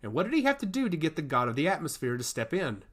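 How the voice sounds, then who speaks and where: A man's voice: on the high side, sing-song, quick pace, breathy edge. A man voicing a worried, determined queen and mother in a fantasy story, speaking in an earnest, pressing way. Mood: insistent.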